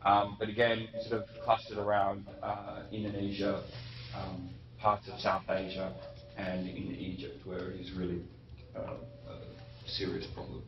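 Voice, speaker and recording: A man giving an academic lecture, unhurried, with frequent ums and self-corrections. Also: deep voice, completely flat delivery, background noise. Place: lecture hall. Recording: muffled echoey hall recording from a distance.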